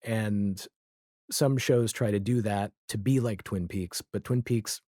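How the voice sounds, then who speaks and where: A man's voice: on the low side, slow, mellow, no room sound, clean studio sound. A man speaking as a podcast guest in a casual, reflective interview conversation, explaining his thoughts with examples. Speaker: podcast guest, audio drama creator.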